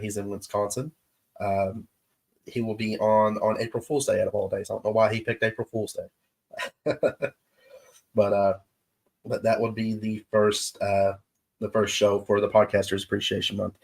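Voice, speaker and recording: A man hosting his show's live stream, chatting casually with viewers in an easygoing, halting way, his voice stuffed up from allergies. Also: lowish voice, steady tone. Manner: easygoing, halting with many pauses. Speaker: show host. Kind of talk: casual livestream chat with viewers.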